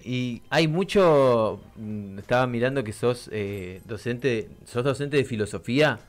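An Argentine man hosts a radio interview in an informal, chatty way. His voice is of average pitch, recorded on a close microphone in a small studio. He has a Buenos Aires accent. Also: animated, a little slow. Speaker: radio host.

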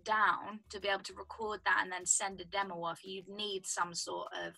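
A young British woman with a husky voice, a songwriter guest chatting casually over a video call from home. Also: mid-range voice, little pitch movement, moderate pace, pushed and bright, soft background music, rough recording. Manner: chatty, explains with lots of "like" and "do you know what I mean". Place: home room indoors. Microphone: video call webcam.

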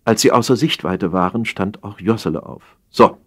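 A man narrating a humorous satire from a script as an audiobook reader, wry and expressive. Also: lowish voice, normal speed.

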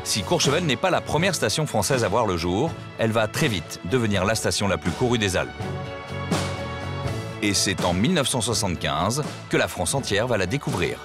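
A man reading scripted documentary narration in a measured storytelling way. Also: fairly low pitch, expressive, music in the background.

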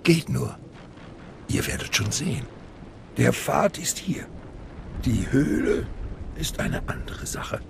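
A man playing an ominous older cult master in a video game, with a hushed, menacing intensity. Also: mid-range voice, expressive, takes it slowly, gravelly, pauses often, noisy background, exaggerated voice. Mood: grave, commanding. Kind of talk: dramatic video game voice acting in German.

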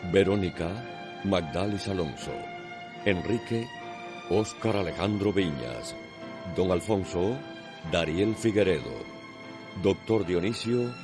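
A man's voice: low voice, lively intonation, slow, pauses often. A man with a Cuban accent giving dramatic scripted narration as the narrator of a radio soap opera. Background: music.

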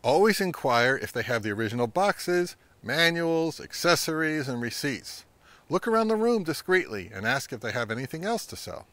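A middle-aged man giving advice to camera as a channel host, speaking in a measured, instructive way. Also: a little high, very animated, a bit bright, no echo. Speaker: host of a vintage audio channel. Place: outdoors, among trees or on a porch.

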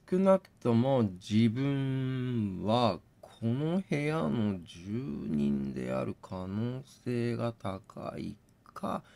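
A man doing gaming commentary, thinking out loud and reasoning step by step, mixed with reading text aloud. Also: mid-range voice, vocal fry. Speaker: game streamer reading on-screen text aloud.

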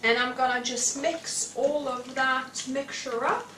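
A woman's voice: high voice, steady tone, unhurried, bright, forceful tone. A woman in her thirties giving a casual cooking demonstration as host. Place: indoor home kitchen. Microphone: clipped lapel microphone. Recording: echoey room, slightly distant, faint noise.

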